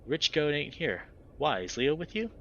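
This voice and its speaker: A man voicing a foul-mouthed young bat, guarded and hostile, with a higher, clipped, cussing delivery. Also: sing-song, normal speed, gravelly, fairly bright tone, muffled recording, slight background noise, exaggerated voice. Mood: wary. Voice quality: higher-pitched, clipped, swearing.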